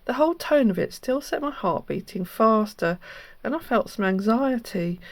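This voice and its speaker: A woman's voice: a little high, animated, normal speed, no echo. Woman narrating earnestly, dry close sound. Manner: earnest, instructive. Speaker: host. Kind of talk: explanatory scam-awareness narration.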